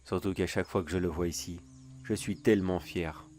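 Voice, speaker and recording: A man playing a gloating, deep-voiced secret watcher. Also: fairly even intonation, brisk, darkish tone, soft background music, lightly acted. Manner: theatrical, gloating, teasing asides. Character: obsessive adult male watcher hiding his brother's crimes. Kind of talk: horror story character monologue.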